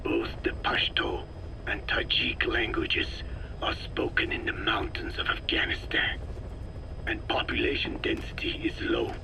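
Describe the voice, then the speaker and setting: A frail old scientist in a game drama. His low voice is mostly a breathy whisper with a weak rasp. He explains things slowly and precisely, with grave deliberation. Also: big pitch swings, heavily acted, poor audio. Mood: grave, clinical. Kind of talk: dramatic game voice acting, expository dialogue.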